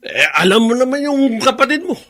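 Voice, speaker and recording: A man's voice: very high voice, big pitch swings, fairly quick, sharp tone, full character voice. A man playing a greedy, brash, sly crook in a Filipino radio drama. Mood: sly amusement. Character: crooked, greedy, chuckling henchman husband.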